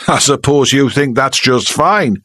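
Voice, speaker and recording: A man's voice: mid-range voice, a little slow, dry recording, clean studio sound, pitched above the performer's own voice. A man reading aloud, voicing a big, sneering village mechanic who mocks and shows off to a crowd. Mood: sneering, taunting. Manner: mocking, loud, taunting.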